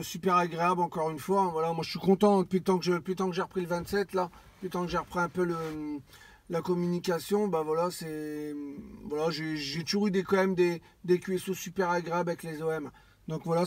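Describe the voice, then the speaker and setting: Man, high pitch, dry sound, amateur radio chat, halting, repetitive phrasing. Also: no room sound. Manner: repetitive and halting, circling back over phrases. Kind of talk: casual radio contact chat.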